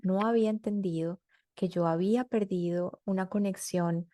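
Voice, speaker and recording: A woman's voice: mid-range voice, fairly even intonation, normal speed, warm tone, no room sound, studio quality. A Colombian woman guest in her forties, telling her story thoughtfully and searching for her words. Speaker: interview guest, mother. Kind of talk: conversational interview.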